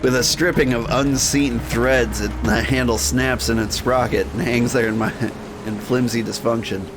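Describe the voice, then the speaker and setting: A man's voice: mid-range voice, fairly even intonation, normal speed, over music, close to an everyday voice. Man voicing a world-weary, wry Victorian minotaur. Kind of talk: first-person game narration in character.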